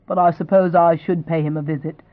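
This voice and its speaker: A woman reading a gruff, weary old father. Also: on the low side, fairly even intonation, a little fast, dry recording, natural voice. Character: older man, weary father. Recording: tinny, phone-like sound.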